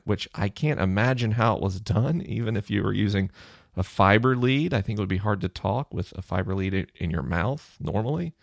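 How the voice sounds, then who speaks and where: Low gravelly-voiced man explaining research. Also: no room sound, clean studio sound. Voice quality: low, chesty voice. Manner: conversational, thinking aloud with fillers. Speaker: podcast host answering listener questions. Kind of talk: casual explanatory monologue.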